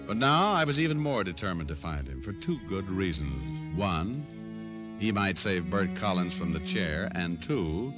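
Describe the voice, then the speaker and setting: An American actor playing a wry, tough private detective, narrating and wisecracking in a vintage radio noir drama. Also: male voice, deep voice, sing-song, measured pace. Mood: wry, determined. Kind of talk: 1940s-50s radio noir acting, first-person narration. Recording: vintage radio recording with music behind.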